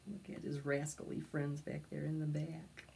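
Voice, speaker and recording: A woman's voice: low-pitched, little pitch movement, moderate pace, gravelly, very noisy. A middle-aged woman reading a picture book aloud to preschoolers, expressively, stretching out words for young listeners. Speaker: library storytime reader. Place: indoors, in front of a decorated backdrop.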